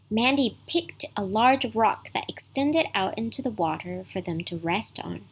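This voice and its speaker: A woman storyteller reads a bedtime story at an unhurried pace. Her voice is husky and sits at a medium pitch. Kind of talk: scripted bedtime story narration.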